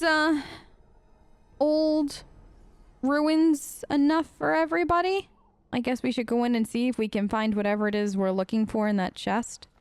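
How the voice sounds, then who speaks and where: A woman's voice: on the high side, clear, resonant tone, no echo. A woman hosting a let's play, chatting casually and playfully while role-playing her character.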